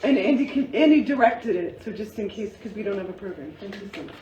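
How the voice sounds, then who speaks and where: A woman's voice: medium pitch, lively intonation, fast, warm tone. A woman speaking casually to an audience as organizer of a post-show talkback. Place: theatre after a staged reading. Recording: distant, echoey, clear background noise.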